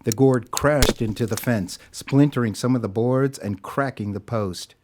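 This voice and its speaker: A man's voice: mid-range voice, unhurried, noisy background. A man narrating a scripted audio drama as a dramatic storyteller. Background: music.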